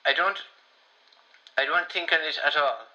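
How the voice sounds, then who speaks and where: An actor playing a shy, wistful elderly Irish man in a radio play, halting and repeating himself as he rambles. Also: on the high side, bright, forceful tone. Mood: wistful, tender. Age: older. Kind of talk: radio play voicemail monologue. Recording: dull, lo-fi voicemail sound.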